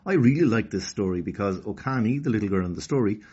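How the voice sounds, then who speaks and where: A man narrating a children's story as an expressive storyteller. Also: fairly low pitch, fast, husky, lo-fi sound.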